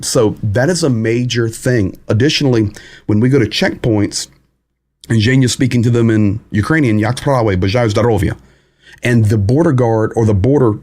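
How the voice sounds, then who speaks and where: A lower-pitched man talks through a live update for his viewers. His phrasing is loose and halting, full of pauses and restarts, and he breaks off to greet people in the chat. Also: a little mellow. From United States. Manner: greets viewers and reads chat comments aloud. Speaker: host of a live war update stream. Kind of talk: casual live-stream commentary.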